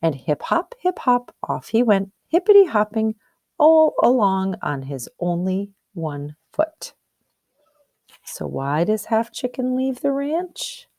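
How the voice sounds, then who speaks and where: A woman's voice: mid-range voice, very animated, slow, dark tone, no echo, clean studio sound. A woman teacher reading a storybook aloud expressively, explaining and asking questions as if to young pupils. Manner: explanatory, questioning, checks understanding.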